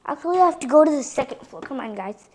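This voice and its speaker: A female voice giving a chatty tour of a toy dollhouse playset, addressing viewers directly and sometimes dropping into soft whispers. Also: sits high, expressive, fast talker. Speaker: toy reviewer.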